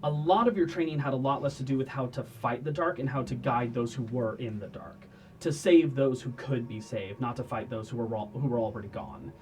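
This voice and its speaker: A man's voice: mid-range voice, a little fast, a little mellow. Man running a tabletop game. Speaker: dungeon master.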